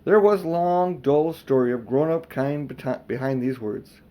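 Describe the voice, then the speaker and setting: An older man reading a children's storybook aloud as expressive narration. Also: medium pitch, measured pace, highs cut off. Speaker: audiobook reader.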